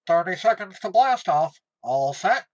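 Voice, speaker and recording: A woman's voice: on the low side, animated, unhurried, darkish tone. A woman acting an efficient spaceship launch controller over a radio link in an audio drama.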